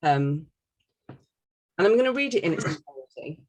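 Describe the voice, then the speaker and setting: A woman's voice: low-pitched, big pitch swings, moderate pace, a little mellow. A woman hosting a casual, chatty podcast. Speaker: podcast host reading listener questions.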